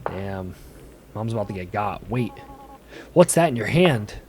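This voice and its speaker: A man's voice: lowish voice, animated, measured pace, darkish tone, slight background noise. A man hosting a reaction video, giving casual, exclamatory commentary full of slang.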